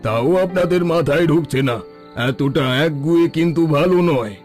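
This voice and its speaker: A scheming village headman in a cartoon story, cold and threatening as he talks down to poor villagers, voiced by a man. His voice sits a little high. Soft music plays underneath. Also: moderate pace, lightly acted. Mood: menacing.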